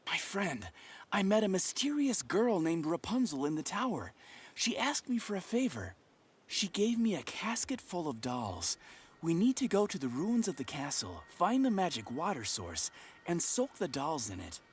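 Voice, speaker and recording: Wildly animated, eager young adventurer. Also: male voice, sits high, normal speed, breathy edge, exaggerated voice. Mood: earnest, cheerful. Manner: animated, earnest, chatty. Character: eager, trusting young male adventurer, friendly and smitten. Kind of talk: video game cutscene acting.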